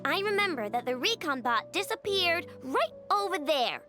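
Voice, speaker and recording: A woman's voice: very high-pitched, sing-song, moderate pace, music in the background. A woman voicing a bold, bossy, cheerful little girl leader in a video game. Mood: eager. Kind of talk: animated video game voice acting.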